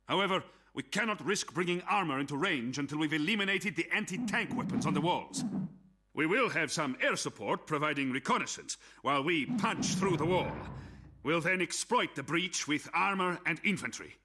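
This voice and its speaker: Casting: resolute Soviet officer, forceful and husky, slightly high, rallying troops in a war game briefing. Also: male voice, lively intonation, normal speed, heavily acted. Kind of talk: military briefing, game acting.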